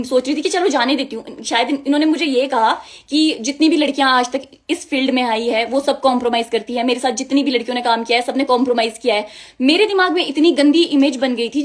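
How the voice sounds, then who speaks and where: A young woman, giving an indignant, emphatic personal account straight to her viewers. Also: high-pitched. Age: young adult. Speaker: music video model.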